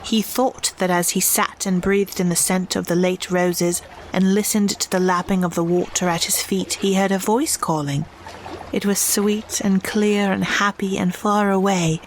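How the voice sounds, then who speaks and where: A woman giving scripted storybook narration in a measured, reflective way. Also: medium pitch, fairly even intonation, slight background noise, rough recording.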